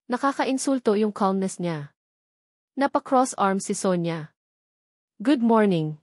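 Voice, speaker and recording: A woman's voice: medium pitch, lively intonation, unhurried, no room sound, clean studio sound. A woman with a Filipino accent narrating a romance audiobook in a dramatic yet conversational storytelling style.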